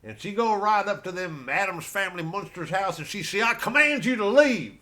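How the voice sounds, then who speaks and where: A man's voice: high-pitched, lively intonation, moderate pace, pushed and bright. An American man doing stand-up as a rambling comic storyteller, with a folksy, colloquial and meandering delivery. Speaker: stand-up comedian.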